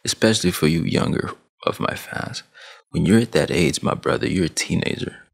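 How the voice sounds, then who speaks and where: A young man in his twenties, from Sudan and raised in Saudi Arabia, lectures like an older brother. His voice is low, gravelly and husky, and it swings up and down a lot as he talks with his hands. He speaks into a headset mic in a small dim room. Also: lively intonation, normal speed. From Sudan, grew up in Saudi Arabia. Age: 20s. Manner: preachy big-brother tone, emphatic, with hand gestures. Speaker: vlogger. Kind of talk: motivational rant to camera. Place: small dim room at home, gaming chair behind. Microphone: headset with boom mic.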